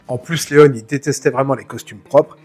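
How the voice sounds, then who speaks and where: A man hosts a relaxed film-history talk, speaking to the viewer as a friend. His voice has a slightly husky grain at an ordinary pitch. Faint music sits behind him. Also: moderate pace. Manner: chatty, addresses viewer informally, self-mocking asides. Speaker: film channel host. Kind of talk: casual film analysis to camera.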